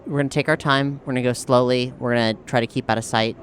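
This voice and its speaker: A man playing a tabletop role-playing game, thinking aloud haltingly as he improvises his character's moves. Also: medium pitch. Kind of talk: improvised in-character play and narration. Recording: steady noise behind the voice.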